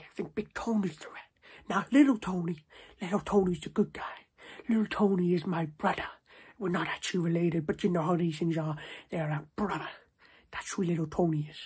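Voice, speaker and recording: A young man voicing a stern, scolding New Jersey mob boss in a hushed, husky ASMR roleplay. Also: high-pitched. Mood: confiding. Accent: put-on New Jersey mobster. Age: 20s. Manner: repetitive, slangy, stern with checking tags. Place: small domestic room, indoors.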